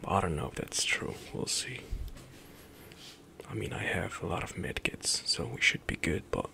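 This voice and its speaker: A young man whispering relaxed commentary as he plays through a game for an ASMR stream, often trailing off mid-thought. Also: low-pitched, moderate pace, vocal fry, a little hiss or hum.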